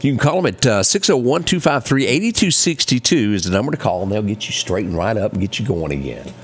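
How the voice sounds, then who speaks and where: Middle-aged man, morning show host, husky and a bit low, lively pitch, folksy ad reads. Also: a little fast. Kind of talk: casual chat and live ad reads. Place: small living-room style studio set, indoors.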